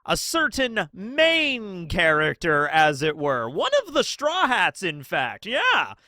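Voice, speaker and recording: High, bright-voiced man with a scratchy cold. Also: slow pace, dry recording, studio quality. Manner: chatty, excitable, asks rhetorical questions. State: scratchy throat from a cold, sniffly. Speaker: channel host. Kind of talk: casual commentary video.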